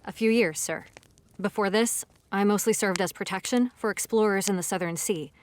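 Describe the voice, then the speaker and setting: A woman's voice: medium pitch, normal speed, darkish tone, slight background noise, close to an everyday voice. An actress playing a dutiful female army captain in an audio drama, respectful, modest and soldierly in her speech.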